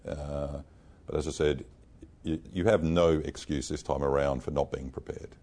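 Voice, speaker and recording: A man's voice: a bass voice, steady tone, normal speed, darkish tone. A man, a central bank panelist speaking frankly and emphatically in plain, casual phrasing. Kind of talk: panel discussion answer.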